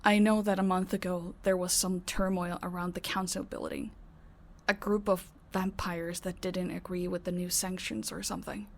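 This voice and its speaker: Soft, even-toned caring vampire woman, voiced by an actress. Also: on the low side, normal speed, gravelly. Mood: concerned. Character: caring, calm female vampire who looks after her housemates. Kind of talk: audio roleplay acting.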